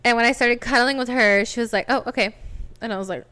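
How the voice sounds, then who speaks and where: A woman's voice: fairly high pitch, quick pace, pushed and bright. A woman co-hosting a podcast, chatting casually in slangy phrases with lots of "like" and quoting herself as she tells stories. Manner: slangy, acts out her own quoted lines, frequent "like". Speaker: podcast co-host. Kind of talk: casual chat, storytelling.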